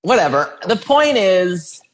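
A man's voice: high-pitched, sing-song, slow pace, fairly bright tone. A man co-hosting a podcast, chatting casually and teasing his co-host with frequent laughter. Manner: playful, teasing, laughs often. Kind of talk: casual chat, reviewing reality show contestants.

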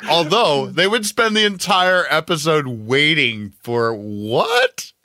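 A man's voice: fairly high pitch, huge pitch swings, slow pace, bright, forceful tone, no echo, studio quality. A man co-hosting a podcast with playful, wisecracking banter. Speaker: podcast co-host. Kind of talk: casual comic podcast banter.

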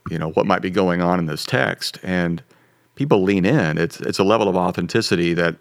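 A man in his fifties, a pastor, talking in a relaxed, joking, self-deprecating way. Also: low voice, a little fast, no echo, studio quality. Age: 50s. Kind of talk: casual podcast conversation.

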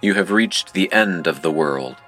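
A man playing a mysterious, impassive game operator who narrates a surreal audio drama in a formal, deadpan manner. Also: low voice, normal speed, faint music behind. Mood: cold, formal.

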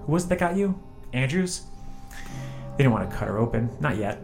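A man's voice: medium pitch, sing-song, fairly quick, gravelly. Man acting a wary, grieving psychic runaway over music. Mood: guarded, confiding. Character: wary former test subject, grieving man with psychic visions. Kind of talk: audio drama acting.

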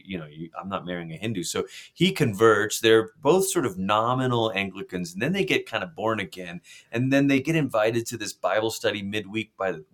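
A man's voice: fairly low pitch, fairly bright tone. A middle-aged pastor from Malaysia, speaking earnestly and reflectively in a conversational interview, often restarting his phrases. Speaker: pastor and author.

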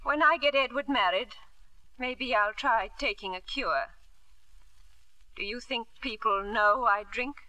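An actress playing a nervy, genteel wife who drinks, in a 1950s radio drama. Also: female voice, on the high side, unhurried, pauses often, sharp tone, dry recording, low-quality recording. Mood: brittle. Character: Evelyn, brittle unhappy wife who drinks.

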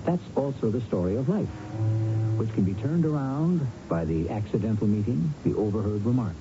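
A man narrating as a grave, philosophical mystery radio host, deliberate and portentous. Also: fairly low pitch, animated. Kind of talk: scripted dramatic radio narration. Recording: dull vintage radio broadcast with music.

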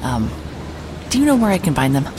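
An actress playing a wry, hard-hearted private investigator narrating a noir audio drama in a dry, deliberate way. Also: female voice, low-pitched, very animated, noisy background. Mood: feigned sweet politeness.

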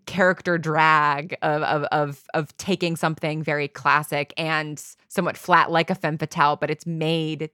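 A woman's voice: low-pitched, level tone, normal speed, hardly any pauses, sharp tone, smooth, no room sound, clean studio sound. A woman being interviewed casually, thinking aloud in a meandering way with lots of filler words. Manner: thinks aloud, meandering, frequent "like" and "you know". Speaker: interview guest, audio drama writer.

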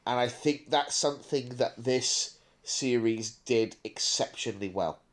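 A man with a medium-pitched voice hosts a casual podcast discussion. He talks in a discursive way, working out his thoughts as he goes. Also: a little slow. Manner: discursive, thinking aloud. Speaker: podcast host. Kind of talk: casual podcast review chat.

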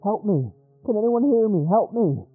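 A man's voice: sits high, monotone, slow pace, slightly rough, dry recording, phone-quality sound, faint music behind, voice pushed up, heavily acted. A man voicing a feeble, desperate, insistent and strangely sexless voice pleading over a radio in a horror story.

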